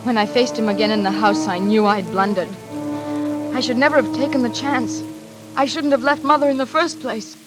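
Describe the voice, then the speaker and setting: A woman playing a frightened, distraught young daughter in a 1940s radio thriller, with breathy, dramatic delivery. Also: fairly high pitch, steady tone, a little fast, lightly acted. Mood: haunted narration. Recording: vintage radio recording over rain and music.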